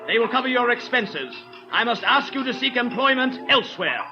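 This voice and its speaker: An actor playing a cold, formal workplace overseer, stiff and unbending, in 1930s radio style. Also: male voice, extremely high pitch, big pitch swings, fairly quick, over music. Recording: muffled 1930s radio recording.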